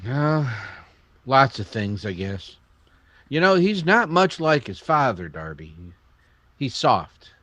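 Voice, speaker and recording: A man plays an earnest older townsman in an old radio western. His voice is mid-pitched and rises and falls expressively. He sounds principled and concerned. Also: sing-song, takes it slowly, a bit bright, dry recording, dull audio.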